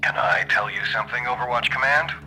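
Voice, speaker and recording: An actor playing a haunted, eerily fixated male survivor on a call in an audio drama, halting and sometimes breathy. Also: mid-range voice, pushed and bright, soft background music, poor audio. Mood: eerie, detached.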